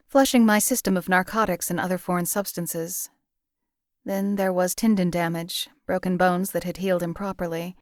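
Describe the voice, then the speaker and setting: A woman voicing a cold, emotionless wasteland assassin for a video game, with a detached, deadpan, formal and articulate delivery. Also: fairly low pitch, no room sound, studio quality, natural voice. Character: cold, emotionless female assassin for hire in a post-apocalyptic wasteland. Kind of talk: scripted video game character dialogue.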